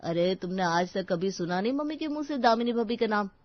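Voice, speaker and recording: A woman's voice: mid-range voice, animated, a little fast, no room sound, lo-fi sound, lightly acted. A woman voicing a cautious, teasing young bridegroom in casual dialogue for a dramatized family story.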